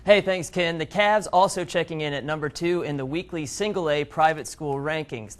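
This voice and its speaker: An American man reporting high school football highlights in a conversational, upbeat style. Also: a bit bright, slight background noise. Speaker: sports reporter. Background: music bed.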